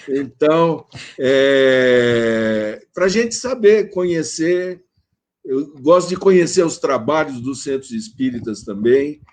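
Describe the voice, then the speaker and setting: A man's voice: mid-range voice, takes it slowly, a little mellow. Hesitant Brazilian man talking at length. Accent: Brazilian Portuguese. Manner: rambling, hesitant, many fillers. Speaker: panel guest. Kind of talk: live online conversation. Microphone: video call.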